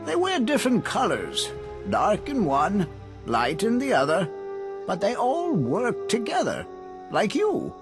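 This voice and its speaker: A man voicing an eerily friendly, curious alien villager in a video game, polite and drawn-out. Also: sits high, wildly swooping pitch, heavily acted. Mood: polite, guarded. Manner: polite, oddly fascinated, drawn-out. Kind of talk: video game cutscene acting. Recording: music behind the voice.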